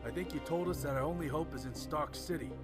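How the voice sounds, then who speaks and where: A man playing a bitter, desperate young man on the run in an audio drama, talking roughly and swearing. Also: fairly high pitch, fast talker, natural voice. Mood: urgent, hopeful. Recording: music behind the voice.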